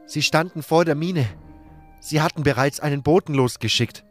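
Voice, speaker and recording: A man's voice: on the high side, faint music behind, pitched above the performer's own voice, natural voice. A man voicing a young male mage in an audiobook, panicked and grief-stricken, stammering as he speaks. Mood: shaken, anxious. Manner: stammering, hysterical, halting. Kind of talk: audiobook character acting.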